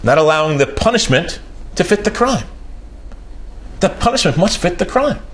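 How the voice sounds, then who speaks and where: A man's voice: very animated, normal speed. A man in his forties preaching a sermon in a small room, emphatic and repeating key phrases for weight. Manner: emphatic, repeats key phrases, pitch rises and falls sharply.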